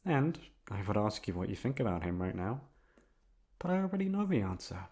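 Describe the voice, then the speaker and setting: A man playing an obsessive, lovesick young man in an intimate ASMR roleplay, coaxing softly with drawn-out words and emphatic repetition. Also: on the low side, very animated. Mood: defensive, insistent. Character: obsessive lovesick young man confessing to his best friend.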